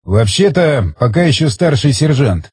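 A man's voice: medium pitch, big pitch swings, moderate pace, darkish tone, slightly rough, no room sound. A man voicing a wry, blunt, curious army sergeant in an audiobook, with casual, colloquial questioning.

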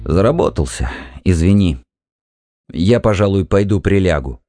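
A man's voice: fairly low pitch, very animated, a little slow, no room sound, soft background music. A man playing an irritable, demanding, jealous husband in a dramatised audiobook. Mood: weary, subdued. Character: jealous, stern, domineering Martian husband.

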